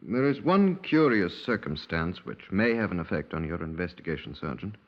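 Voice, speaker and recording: A cautious British police doctor in an old radio drama. He is clinical and formal, with a low voice. The sound is dulled like 1950s radio. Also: sing-song, normal speed. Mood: clinical, precise. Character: male police medical examiner, precise, cautious, formal. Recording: dull 1950s radio recording.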